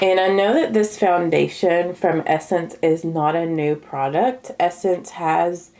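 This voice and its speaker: A woman with a slightly low voice talks her viewers through a makeup review in a loose, rambling, chatty way. She sounds a little nasal and stuffed up from a cold, and her throat is slightly scratchy. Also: warm tone. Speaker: beauty YouTuber. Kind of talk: casual makeup review vlog.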